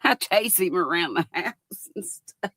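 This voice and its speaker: A woman's voice: fairly low pitch, animated, measured pace, a bit bright, dry recording, studio quality. An older woman hosting a casual livestream, chatting folksily and giggling as she reads viewer comments aloud. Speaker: livestream host.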